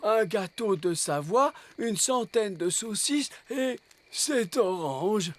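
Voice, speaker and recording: A man's voice: high-pitched, expressive, slow pace. A man playing a good-natured, gluttonous, talkative elf in a cartoon dub, earnest and naive in a ponderous way. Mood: boastful, naive. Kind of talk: animated cartoon dub acting.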